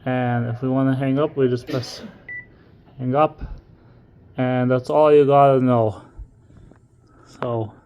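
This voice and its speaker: A man's voice: medium pitch, fairly even intonation, takes it slowly, pauses often, dull audio, a little hiss or hum. A man instructing in an informal, step-by-step way, as in a casual hands-on tutorial. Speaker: instructor demonstrating equipment.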